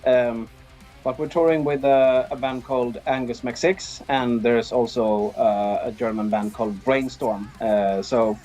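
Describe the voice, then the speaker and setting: A middle-aged man with a Swedish accent answers interview questions casually. He is easygoing and feels his way through sentences at a medium pitch. Faint music sits behind his voice. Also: darkish tone. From Sweden. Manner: hesitant, easygoing. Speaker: interviewed band drummer.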